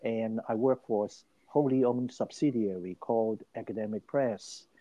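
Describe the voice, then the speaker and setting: A man's voice: on the low side, moderate pace, no room sound, highs cut off. An older man from Hong Kong tells his life story as an interview guest. He speaks with emphasis and often restarts his phrases. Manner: animated and emphatic, many restarts.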